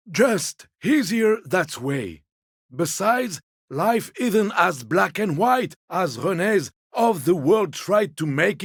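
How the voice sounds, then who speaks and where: An actor playing an elderly, polite and evasive old man in a video game, speaking in a mild, apologetic and deferential way with a husky voice. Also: high voice, no echo, studio quality, full character voice. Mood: mild, obliging. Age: older. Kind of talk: video game character dialogue.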